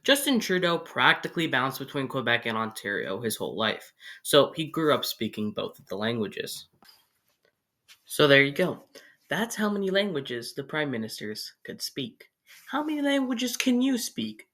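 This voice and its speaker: Lively young man narrating with jokes. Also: medium pitch, normal speed. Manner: jokey, sarcastic asides. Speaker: video creator. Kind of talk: casual explainer narration.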